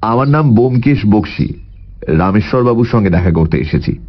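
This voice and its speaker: An actor playing a thoughtful, wry detective in measured, musing dialogue from an audio story. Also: male voice, lowish voice, expressive, highs cut off. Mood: decisive.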